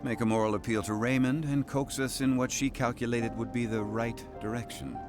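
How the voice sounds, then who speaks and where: An actor playing an erudite, haughty and curious fantasy-game scholar, with pompous, formal, archaic wording. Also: male voice, mid-range voice, steady tone, brisk, over music, close to an everyday voice. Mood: explaining. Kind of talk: video game character acting.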